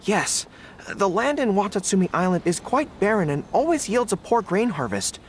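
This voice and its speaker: Flustered, earnest young soldier, expressive. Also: male voice, sits high, normal speed, slight background noise. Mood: nervous, earnest. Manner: hesitant, stammering, polite. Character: earnest young dog-eared soldier, male, flustered but dutiful. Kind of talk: video game voice acting. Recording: game music behind.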